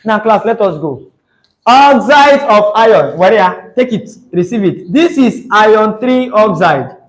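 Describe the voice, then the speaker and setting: A man's voice: sits high, lively intonation, unhurried, rough recording. A young Nigerian man with a Nigerian accent, teaching a chemistry class live, chatty and teasing, slipping into Pidgin. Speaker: chemistry tutor.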